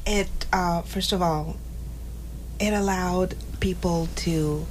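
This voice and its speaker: A woman born in Haiti and raised in Montreal, telling her story as a guest in a casual interview, animated and often restarting her sentences. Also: fairly low pitch, slow pace, a little hiss or hum, poor audio. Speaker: podcast guest.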